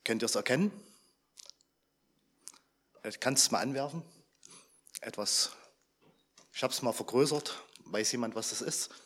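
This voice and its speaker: A slightly hoarse man who is under the weather gives a chatty, informal talk to a gathered congregation and keeps asking them questions. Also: mid-range voice, a little slow, long pauses, a bit bright. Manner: chatty, asks the audience questions.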